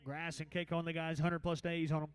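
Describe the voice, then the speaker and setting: An American man chants as a livestock auctioneer, racing along at a very fast clip. His voice is clean and resonant and stays on a nearly level note. The patter is repetitive, sing-song and folksy, rolling from one bid to the next. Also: on the high side, level tone, smooth. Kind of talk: rapid rhythmic auction chant.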